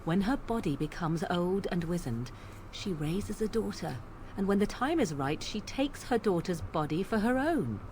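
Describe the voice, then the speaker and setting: A woman's voice: fairly low pitch, big pitch swings, moderate pace, darkish tone, slight background noise. An actress playing a proud young witch in a video game, cool and resolute, with formal, archaic phrasing. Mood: grave, musing. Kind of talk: dramatic video game dialogue.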